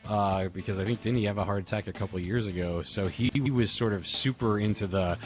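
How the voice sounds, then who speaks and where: Low voiced guy rambling casually on a group call, slangy, some music under it. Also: fast. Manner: rambling, informal slangy talk. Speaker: podcast host and audio drama group member.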